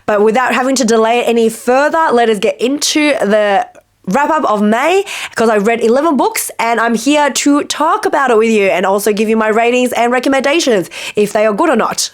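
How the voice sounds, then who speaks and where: A woman reviewing books in a casual vlog, chatty, wry and opinionated, her voice a little croaky after being ill. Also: on the high side. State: slightly croaky from illness. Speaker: book reviewer.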